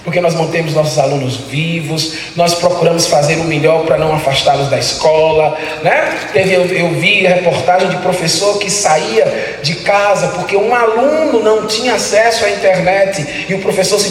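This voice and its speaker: A man's voice: high voice, a little hiss or hum. A man from Salvador gives a motivational talk to teachers. He keeps asking the audience rhetorical questions and tags his phrases with "né". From Salvador, Bahia, Brazil. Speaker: guest lecturer speaking to teachers. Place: large hall with an audience. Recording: very echoey hall, voice heard from a distance.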